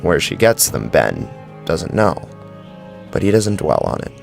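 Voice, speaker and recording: A man's voice: deep voice, big pitch swings, unhurried, creaky. A man narrating a scripted horror story as a brooding, dramatic storyteller. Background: faint music.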